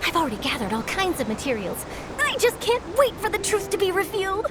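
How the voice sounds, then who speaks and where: A woman's voice: very high-pitched, sing-song, brisk. A woman playing an ambitious, bubbly young newspaper reporter, perky and theatrical, in an anime game. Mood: eager, dreamy. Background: music.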